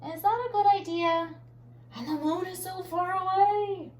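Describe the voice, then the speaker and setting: Woman as worried zoo animal, storybook, high pitch, doubtful questioning, roomy echo. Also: a little slow, darkish tone, recorded from a distance. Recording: roomy echo, faint background noise.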